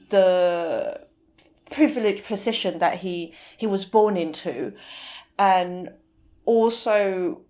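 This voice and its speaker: A woman speaking as an interview guest, explaining things informally and sometimes pausing to search for the right word. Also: medium pitch, darkish tone.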